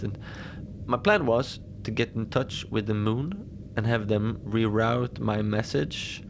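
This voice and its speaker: Gravelly, unflappable station manager played by a man. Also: lowish voice, noisy background. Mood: calm, wry. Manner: casual rambling, wry understatement, small chuckles. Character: unflappable lone male facility manager at an Antarctic station. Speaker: host and narrator of a fiction podcast. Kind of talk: cozy horror audio diary, radio transmission monologue.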